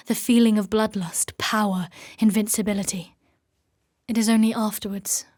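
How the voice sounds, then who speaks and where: A woman playing a proud, stern warrior knight in a dark fantasy audio drama, speaking in a formal, commanding way. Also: medium pitch, no room sound, studio quality, close to an everyday voice.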